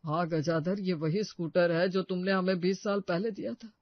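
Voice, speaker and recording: A man's voice: high-pitched, fairly even intonation, normal speed, no room sound, highs cut off. A man playing a fretful, earnest older uncle in a comic family story. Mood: sheepish, explaining.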